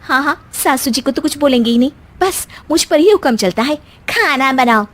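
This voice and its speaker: Woman acting a high, feisty young daughter-in-law. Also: lively intonation, moderate pace. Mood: grumbling. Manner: whiny, exclamatory complaining. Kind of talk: Hindi cartoon moral story acting.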